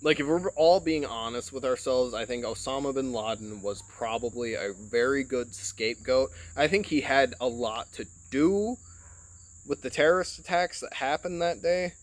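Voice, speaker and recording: A man's voice: medium pitch, normal speed. Rambling, profane man from Michigan. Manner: rambling, profane, heavy filler words. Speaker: podcast host. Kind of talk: casual rambling monologue. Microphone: phone.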